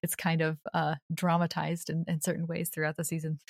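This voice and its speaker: A woman's voice: sits low, monotone, brisk, creaky, no room sound, clean studio sound. A woman speaking as a podcast guest and audio drama creator in a casual, conversational interview.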